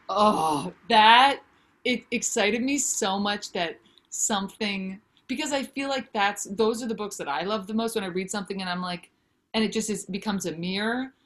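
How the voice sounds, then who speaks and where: A woman in her thirties, a singer-songwriter and author, talking candidly and animatedly over a video call from home. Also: medium pitch. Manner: candid, animated, gesturing with hands. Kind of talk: casual interview chat. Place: home room with brick wall.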